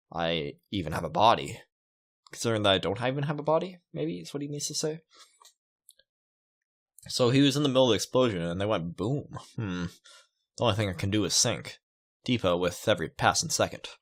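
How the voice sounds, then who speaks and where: A man's voice: fairly low pitch, a bit bright. A man playing a visual novel, reading it aloud and musing with casual asides. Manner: musing, reacting aloud to the story. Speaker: let's player. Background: game music.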